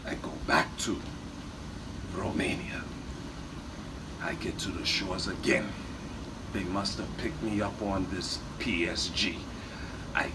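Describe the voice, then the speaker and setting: Deep, melodramatic Bulgarian storyteller played by a man. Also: steady tone, slow, pauses often, heavy background noise. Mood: boastful, dramatic. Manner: broken English, dramatic, rambling. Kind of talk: improvised comic in-character storytelling.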